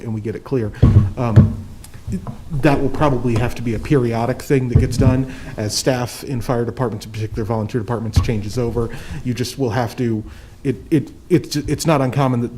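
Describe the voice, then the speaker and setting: A man's voice: mid-range voice, dark tone. A man on a county board who says he is losing his voice, speaking earnestly with frequent restarts at a public hearing. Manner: earnest, repeats himself, stumbles. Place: county board meeting room.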